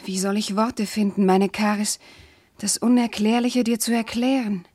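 A woman's voice: medium pitch, flat delivery, normal speed, darkish tone, slightly rough, no room sound, lightly acted. A woman playing a young, noble princess, anguished and bewildered, in solemn, fervent German classical verse radio drama.

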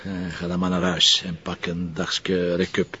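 An actor playing a weary, wry police commissioner with a Flemish accent and a hesitant, muttering delivery in a naturalistic crime drama. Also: male voice, sits low, monotone, unhurried, bright, forceful tone, breathy edge, full character voice. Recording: muffled audio with faint background noise.

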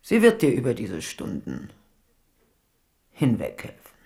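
Radio drama role: calculating monarch, mid-pitched, drawn-out condescending delivery. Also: male voice, wildly swooping pitch, vocal fry, darkish tone, full character voice. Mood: confiding. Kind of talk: German radio play acting.

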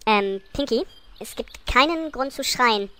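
A woman's voice: a little high, animated, a little slow, very noisy. A woman voicing an exasperated, imperious gnome queen with a snappy, sarcastic manner in an amateur parody audio play. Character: bossy, exasperated female pink gnome queen.

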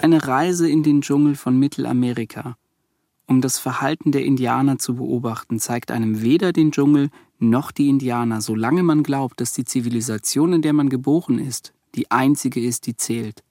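An actor playing a solemn reader of a writer's words in a radio drama. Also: male voice, mid-range voice, normal speed, a little mellow, no echo, studio quality, lightly acted. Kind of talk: literary quotation in radio drama.

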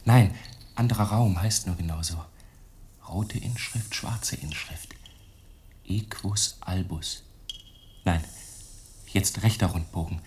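An actor playing a tense young novice monk in a radio play, speaking in a hushed, halting way. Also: male voice, sits low, slight background noise. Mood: hushed, searching.

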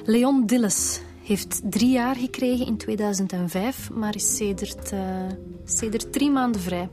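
An actress playing a practical police detective in a radio drama, with a Flemish accent. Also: female voice, a little high, unhurried, over music, lightly acted. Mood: matter-of-fact. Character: practical female police detective reporting to her boss.

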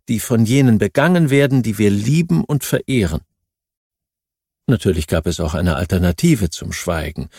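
A man reading a scripted history audiobook in a measured, formal way. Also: medium pitch, vocal fry, a little mellow, no echo, clean studio sound. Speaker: audiobook narrator.

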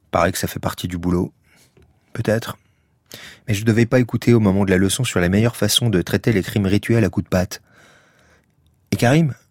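A man's voice: on the low side, a little mellow, dry recording, clean studio sound. An actor playing a sarcastic, impatient male police detective in a naturalistic audio drama, with a colloquial, sardonic way of talking. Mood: wry narration. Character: exasperated, sarcastic male police detective, brusque with his partner. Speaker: actor, also first-person narrator. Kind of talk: naturalistic radio drama acting. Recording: clear car and street noise behind.

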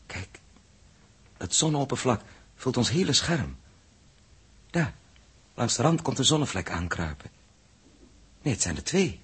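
An actor playing a questioning, sceptical male astronaut in a 1960s Dutch radio drama, halting when puzzled. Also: mid-range voice, a bit bright, husky, heavily acted. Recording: muffled-edged old 1960s radio recording.

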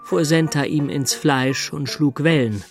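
An actor playing a sober, understated middle-aged systems consultant in a German radio drama. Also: male voice, fairly high pitch, a little slow, over music. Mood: reflective. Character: sober male Berlin systems consultant in a love affair. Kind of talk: German radio drama dialogue and inner narration.

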